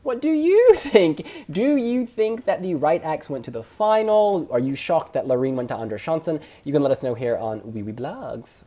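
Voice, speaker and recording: Expressive male host with a mellow voice. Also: fairly high pitch, normal speed, hardly any pauses. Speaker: host reviewing song contest entries. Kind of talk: casual opinion chat.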